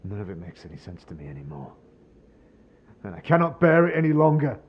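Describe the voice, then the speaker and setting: A man's voice: on the low side, big pitch swings, brisk, slightly rough, highs cut off, voice pushed down. A man acting a bitter, despairing man who has lost all faith, brooding and sardonic, in a fantasy audio drama. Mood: haunted, despairing. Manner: brooding, sardonic, rhetorical questioning.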